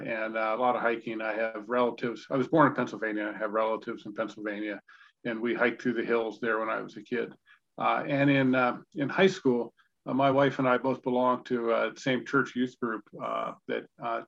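An American man in his sixties from Indiana, telling personal stories as an interview guest in a halting, conversational way over a video call. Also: medium pitch, little pitch movement, creaky, a bit bright. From Indiana, United States. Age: 60s. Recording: dull, lo-fi video call audio.

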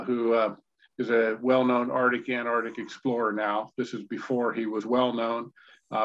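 An American man in his sixties from Indiana, telling personal stories as an interview guest in a halting, conversational way over a video call. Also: medium pitch, gravelly, a bit bright. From Indiana, United States. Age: 60s. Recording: dull, lo-fi video call audio.

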